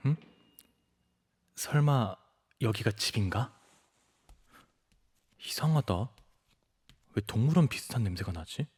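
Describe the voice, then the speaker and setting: An actor playing a small, cunning stray puppy shapeshifter in an audio drama, cutely pleading and cockily muttering to himself. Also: male voice, medium pitch, expressive, slow pace, pauses often, a little mellow, slightly rough. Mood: curious, scheming.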